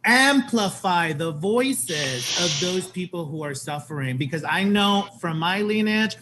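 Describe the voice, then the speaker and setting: Earnest animated man on a video call. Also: high-pitched, a little slow, background noise. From Puerto Rican and Colombian heritage. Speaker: show host on panel. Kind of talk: casual panel chat. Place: home room.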